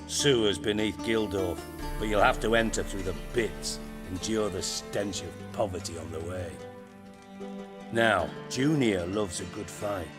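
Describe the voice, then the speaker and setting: An actor playing a cunning, middle-aged ex-spymaster turned crime boss in a video game, gruff and blunt, with a wry, salty tongue. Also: male voice, lowish voice, unhurried, natural voice. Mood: businesslike, gruff. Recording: reverberant hall, music behind.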